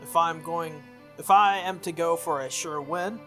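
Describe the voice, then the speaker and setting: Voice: mid-range voice, a little slow, fairly bright tone, soft background music. A game playthrough host reading visual novel text aloud, sometimes stumbling and rereading, with casual asides.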